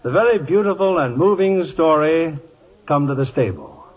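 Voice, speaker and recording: A man's voice: fairly high pitch, animated, normal speed. A man hosting a radio theater in a polished, scripted mid-century style. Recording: dull old radio recording.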